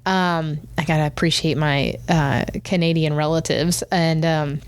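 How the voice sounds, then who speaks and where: Chatty woman with a slight northern US accent, a little low-pitched. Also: steady tone, clear, resonant tone. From northern United States. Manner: chatty and rambling, quick asides, laughs often. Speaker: 911 communications manager, guest. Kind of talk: casual podcast chat.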